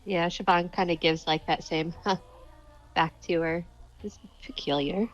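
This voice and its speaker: Slightly low woman, dull recording, soft music. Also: fairly even intonation, unhurried. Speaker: player. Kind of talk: improvised roleplay.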